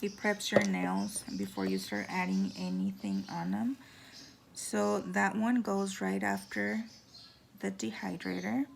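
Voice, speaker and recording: Hoarse raspy woman chatting casually. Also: mid-range voice, expressive, slow, a little hiss or hum. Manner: chatty, filler-heavy, drops into whispers. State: hoarse from talking. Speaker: nail tutorial vlogger. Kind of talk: casual how-to chat. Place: home.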